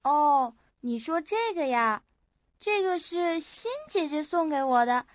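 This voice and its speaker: Woman acting a very high-pitched, timid young woman. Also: expressive, slow pace, no echo, muffled recording. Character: frightened, confused young woman with memory loss. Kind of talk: Chinese suspense radio drama acting.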